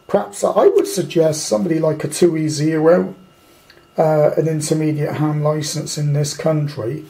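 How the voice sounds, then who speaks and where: Higher-pitched, mellow British man, rambling. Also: fairly even intonation, normal speed, vocal fry, poor audio. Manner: rambling, blunt, colloquial. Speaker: amateur radio hobbyist reviewing a kit. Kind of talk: casual off-the-cuff product review. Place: indoors at a tabletop.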